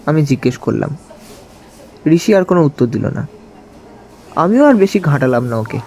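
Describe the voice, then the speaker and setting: A man telling a detective thriller in the first person, in an expressive, conversational storytelling style. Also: fairly high pitch, normal speed, slight background noise. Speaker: narrator of an audio story.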